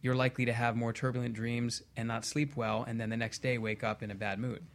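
Guy hypnotherapist guest explaining stuff in a conversational way, medium pitch. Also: flat delivery, brisk. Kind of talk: conversational explaining and anecdotes on a talk show.

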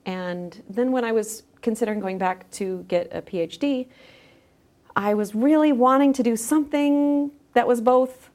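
A woman's voice: on the high side, moderate pace, darkish tone. A middle-aged woman speaking as a scholarly guest in a thoughtful podcast interview. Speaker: guest, theology scholar with drama background.

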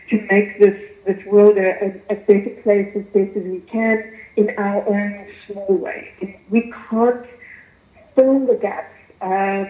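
A woman with a South African accent giving articulate, measured answers as a guest in a radio interview. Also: medium pitch, roomy sound, narrow, tinny audio. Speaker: arts festival chief executive, interview guest.

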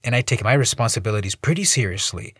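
A man's voice: fairly low pitch, animated, fast talker, a bit bright, slightly rough, no room sound, clean studio sound, exaggerated voice. A man voicing a wisecracking young male superhero who talks in jokey, breezy quips. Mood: friendly.

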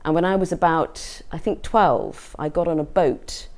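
A middle-aged southern English woman with a notably low voice. She gives a personal talk to an audience, speaking conversationally and reflectively, as an artist discussing her own practice. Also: a little slow, darkish tone. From England.

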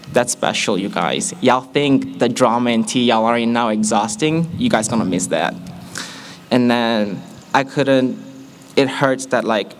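A man's voice: mid-range voice, a little hiss or hum. Earnest young man sharing a testimony. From Malaysia. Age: young adult. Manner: earnest, candid, with playful asides to the audience. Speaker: student giving a testimony. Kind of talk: personal testimony to a group.

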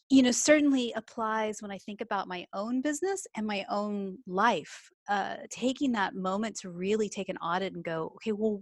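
A woman's voice: mid-range voice, no echo, studio quality. A woman from Texas with a Texan accent, chatting about her small business in a casual interview, upbeat and full of fillers. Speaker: small business owner and coach. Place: home, working remotely.

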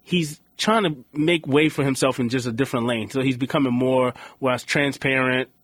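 A man's voice: mid-range voice, fairly even intonation, moderate pace, fairly bright tone, no room sound, clean studio sound. A man from Queens, New York, co-hosting a podcast in casual, blunt and profane chat that rambles with restarts. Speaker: podcast co-host.

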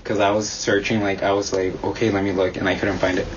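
A young man whose first language is Spanish, answering interview questions in an earnest, halting way full of "like". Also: lowish voice, flat delivery, dull audio, a little hiss or hum. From Mexican-American community. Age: young adult. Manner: earnest, halting, heavy use of "like". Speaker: interviewee, student.

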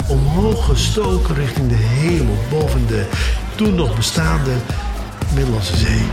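An actor playing a sneaker-obsessed, wistful man of the future, telling his story in a deliberate, dramatic radio-drama monologue. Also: medium pitch. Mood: swelling, fervent.

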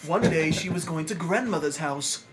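A man's voice: on the high side, normal speed, loud music behind the voice. A man hosting a podcast, chatting casually and telling stories playfully. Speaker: podcast host. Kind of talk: casual chat, playful storytelling.

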